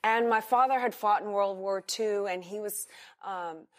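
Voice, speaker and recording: An American woman interviewed as a guest, speaking openly about her life with frequent fillers and restarts. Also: mid-range voice. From United States. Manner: candid, halting with fillers. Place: hotel in Singapore.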